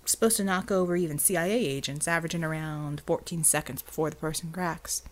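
A woman voicing a gruff, plain-spoken, tough American man in an audiobook story. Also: low voice, fast talker, natural voice. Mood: coolly menacing. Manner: colloquial, blunt, coolly menacing when persuading.

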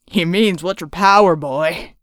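A woman voicing a gruff, sneering male gang leader with a mocking, folksy Southern US drawl in an audiobook. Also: fairly low pitch, unhurried, no room sound, natural voice.

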